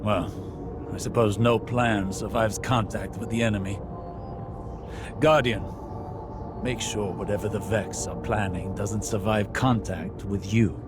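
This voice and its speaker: A man playing a wise, composed older mentor in a video game, speaking in a measured, philosophical way. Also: fairly low pitch, steady tone, lightly acted. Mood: wry, commanding. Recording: loud music underneath.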